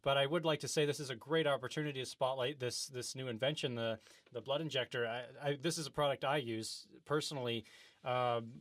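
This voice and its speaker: A man's voice: medium pitch, fairly even intonation, fairly quick, fairly bright tone, no room sound. A man hosting an improvised comedy talk-show interview, speaking in a hesitant, earnest, wide-eyed way.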